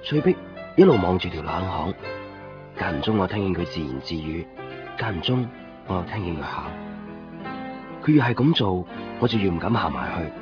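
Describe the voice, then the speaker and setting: An actor playing a brooding, gentle young man who narrates his lost love introspectively in a Cantonese radio drama. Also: low-pitched, lively intonation, moderate pace, pauses often. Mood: wistful. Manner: wistful, introspective. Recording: dull 1990s radio recording, music behind. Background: music with guitar.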